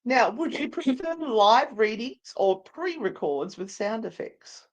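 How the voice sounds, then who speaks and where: A woman's voice: a little high, expressive, measured pace. An Australian woman co-hosting a live show in casual, easily sidetracked, giggly banter. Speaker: co-host of a paranormal live show.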